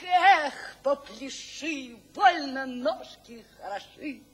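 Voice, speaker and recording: A woman's voice: sits high, animated, slow pace, soft background music. An actress in a radio play as a woman theatrically reciting rowdy, taunting verse.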